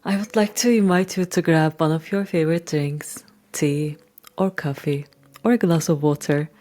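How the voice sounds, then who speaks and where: A middle-aged woman from Turkey with a Turkish accent, talking reflectively to her followers in measured, deliberate short phrases. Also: deep voice, dark tone. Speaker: channel host and coach.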